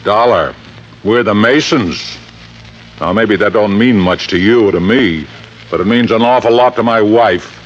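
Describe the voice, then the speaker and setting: Gruff, deep-voiced rich father acted by a man. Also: unhurried, close to an everyday voice. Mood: defensive. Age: middle-aged. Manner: brusque, insistent, plain-spoken. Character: gruff, defensive, wealthy middle-aged father. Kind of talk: old-time radio drama acting. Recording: dull, faintly noisy vintage radio recording.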